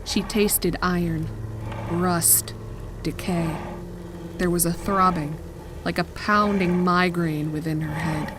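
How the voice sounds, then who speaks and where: A woman narrating a scripted horror story in a measured, dramatic manner. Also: fairly low pitch, steady tone, noisy background.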